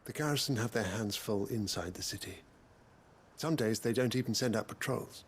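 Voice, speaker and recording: An actor playing a dutiful, worried investigator in a fantasy video game, formal and courteous. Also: male voice, lowish voice, normal speed, a little hiss or hum, lightly acted. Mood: grim reporting.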